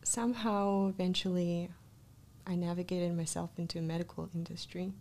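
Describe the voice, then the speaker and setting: A woman's voice: lowish voice, little pitch movement, normal speed, a little mellow. A woman from Russia with a Russian accent, telling her personal story as a guest in a casual interview, close on a studio microphone. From Russia, born in Kazakhstan. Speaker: life coach, podcast guest. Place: small podcast studio, indoors. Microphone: close studio microphone, headphones.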